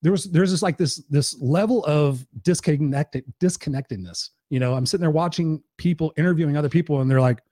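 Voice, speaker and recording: A man with a hoarse voice, hosting a live-stream show as a life coach, talking in an energetic, profane, rambling way. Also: a little high, a little fast, few pauses, no room sound, clean studio sound. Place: home.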